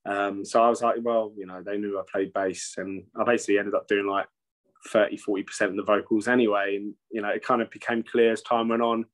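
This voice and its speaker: A man's voice: lowish voice, flat delivery, fast. A man, a metal singer talking casually over a video call from home, rambling with frequent swearing and restarts. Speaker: metal band singer and bassist, guest. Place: home room with shelves. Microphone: video call webcam.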